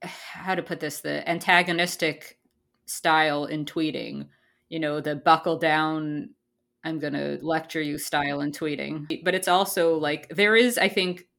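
Low-voiced playful woman co-host. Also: fairly even intonation, unhurried, gravelly, fairly bright tone. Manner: playful, ironic, rambling with fillers and laughs. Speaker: podcast co-host. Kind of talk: casual chat.

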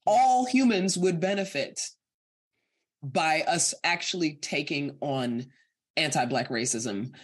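A woman's voice: sits low, slow, breathy edge. An American woman speaking as a podcast guest, earnest and emphatic, laughing lightly between points in candid conversation. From United States.

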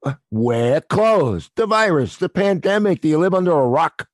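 An actor playing a gruff theater night watchman with a blunt, working-class New way of talking, in an audio drama. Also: a little high, big pitch swings, moderate pace, no echo, clean studio sound. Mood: irritated.

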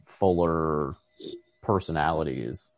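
A man with a deep voice chats about short stories as a podcast co-host. He feels his way through each thought, tentative and often rewording himself midway. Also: creaky. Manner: halting, tentative, self-correcting. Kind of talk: casual literary discussion.

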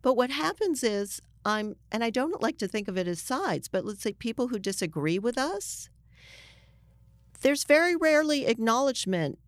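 A woman's voice: on the high side, very animated, normal speed, no echo, clean studio sound. A woman journalist speaking as a guest in a conversational interview, earnest and emphatic as she makes her points.

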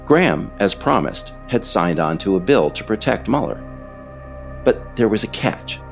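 Deep-voiced man in creaky scripted narration. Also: very animated, unhurried, faint music behind. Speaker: author and podcast narrator. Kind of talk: scripted narration of political history.

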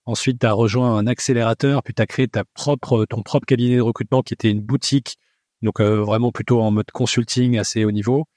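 A male podcast host with a mid-range voice asks his guest questions in a friendly, brisk way. The recording is dry and close. He hesitates now and then before his questions. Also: mid-range voice, steady tone, a little mellow, no room sound. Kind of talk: podcast interview.

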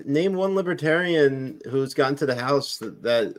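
A man's voice: medium pitch, lively intonation, moderate pace. A man talking politics in a casual podcast chat, rambling with lots of filler words.

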